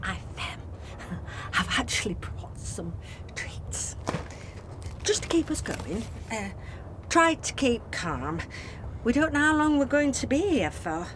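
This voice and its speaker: Clear background noise surrounds a woman playing a fussy factory line manager in an amateur radio drama. She takes her time, soothing one moment and bossing the next, with a lively rise and fall. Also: a little high, slow pace. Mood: hesitant, calming. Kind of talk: amateur radio play acting. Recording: clearly noisy amateur recording.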